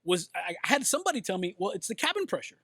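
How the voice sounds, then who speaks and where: Lively high-voiced man chatting. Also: rapid-fire, vocal fry, dry recording. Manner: animated, jokey, slips into playful accents. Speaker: co-host. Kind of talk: casual bar-side talk show chat. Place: bar.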